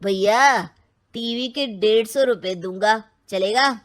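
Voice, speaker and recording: A sarcastic scrap dealer voiced by a woman haggles in a high voice. The delivery is slow and mocking, in cartoon story style. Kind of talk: Hindi cartoon story acting.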